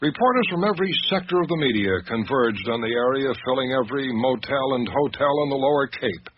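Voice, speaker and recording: An actor playing an anxious, literate male doctor who tells an eerie mystery in a radio drama, with measured, expressive delivery. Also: mid-range voice, natural voice. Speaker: narrator and lead character. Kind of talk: first-person radio drama narration and dialogue. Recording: noisy, dull radio drama recording.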